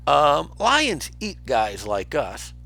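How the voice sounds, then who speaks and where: Storybook meerkat sidekick, played by a male reader: bossy, excitable, pitched high with big swoops. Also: drawn-out words, gravelly, faint music behind, heavily acted. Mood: alarmed. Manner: bossy, exclamatory.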